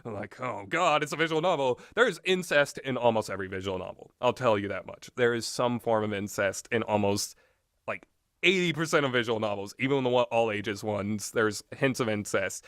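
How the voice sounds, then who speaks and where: Guy rambling his blunt takes, really lively pitch, close dry sound, sweary. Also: a little fast, no echo. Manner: opinionated, blunt, casually sweary. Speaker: solo commentator on visual novels. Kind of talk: casual rambling opinion commentary.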